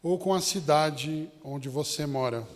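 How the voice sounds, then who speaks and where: A man's voice: on the high side, measured pace. A man with a São Paulo Brazilian accent preaching a sermon as a Baptist pastor, addressing his listeners directly with rhetorical questions and anecdotes. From São Paulo state, Brazil. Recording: long hall reverb.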